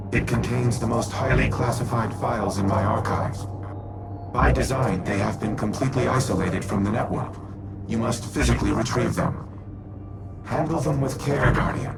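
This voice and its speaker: An actor playing a grave, remorseful military artificial intelligence in a video game, speaking in a solemn, measured, deliberate way. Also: male voice, medium pitch, creaky, loud music behind the voice, lightly acted. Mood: grave, commanding. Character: remorseful military AI war machine, grave and noble. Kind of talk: video game character dialogue.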